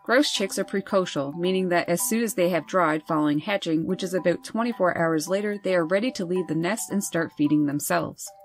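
A woman host reading scripted nature narration over wildlife footage in a measured, informative way. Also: fairly low pitch, soft background music. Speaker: birding channel host.

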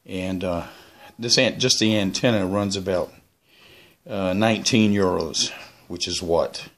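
A man with a fairly low voice presents equipment in a homemade vlog. He speaks off the cuff and casually, wandering from point to point with hesitant pauses. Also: fairly bright tone. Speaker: YouTuber presenting his radio antennas. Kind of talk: casual unscripted product show-and-tell.

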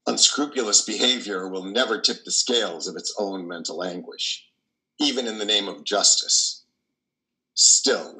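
Older guy acting a troubled old priest, musing stage monologue, lowish voice, really sharp and bright. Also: exaggerated voice, rough recording. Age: 60s or older. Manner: deliberate, theatrical, musing with repeated phrases. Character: elderly, troubled, philosophical male priest, compassionate and musing. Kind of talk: dramatic monologue in play reading. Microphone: video call, close to camera.

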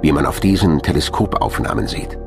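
A man narrates a documentary in a deep voice. His delivery moves up and down expressively, and music plays clearly behind him. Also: lively intonation, brisk.

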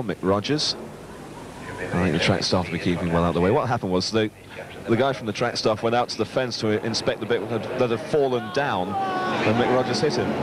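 A man giving live commentary on a stock car race, calling positions and getting excited as the cars battle. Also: fairly low pitch. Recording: 1980s VHS broadcast with loud track noise.

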